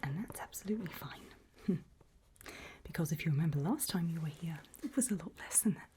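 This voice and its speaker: A woman doing a whispered ASMR doctor roleplay, speaking breathily in gentle, reassuring, halting short phrases close to the microphone. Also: on the low side, expressive, slight background noise. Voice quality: breathy, mostly unvoiced whisper. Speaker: ASMR performer role-playing a doctor giving a memory test.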